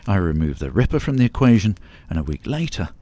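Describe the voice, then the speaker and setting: A man acting as a confident, secretive ex-marine in an audio drama, measured and assertive. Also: sits low, sing-song, dark tone, no echo. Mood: firm, persuasive. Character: secretive, confident ex-marine man plotting a time-travel plan.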